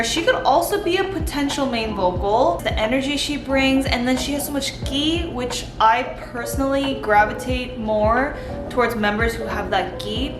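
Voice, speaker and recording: Chatty young woman, roomy echo. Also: a little high. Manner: chatty, enthusiastic, lots of "like". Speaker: vlogger reviewing a TV show. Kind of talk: casual chat. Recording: roomy echo with background music. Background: soft background music.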